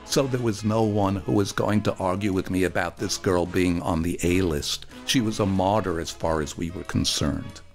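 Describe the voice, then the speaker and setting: A man performing a first-person story for radio, with a wry, animated and earnest delivery. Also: low-pitched, normal speed, few pauses, faint music behind.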